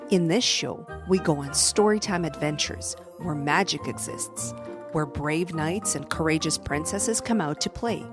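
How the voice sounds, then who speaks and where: A woman hosting a children's storytime podcast, reading a scripted introduction and sign-off. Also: on the low side. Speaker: podcast host.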